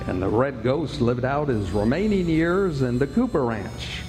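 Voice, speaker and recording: A man's voice: mid-range voice, lively intonation, measured pace, close to an everyday voice. A man narrating a Western radio play as a genial, folksy storyteller. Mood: genial, wry. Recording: echoey hall, loud music behind. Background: music.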